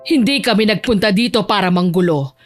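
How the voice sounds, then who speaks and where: A woman's voice: medium pitch, a little fast, soft background music, close to an everyday voice. A woman voicing a dignified, powerful elderly grandmother with a formal, commanding manner in an audio drama. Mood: composed authority.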